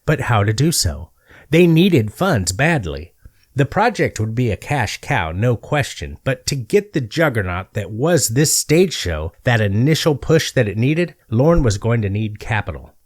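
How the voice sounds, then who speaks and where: Man narrating with very lively, swooping pitch. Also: unhurried, no room sound, clean studio sound. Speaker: comedy storyteller narrator. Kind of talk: scripted comedic narration.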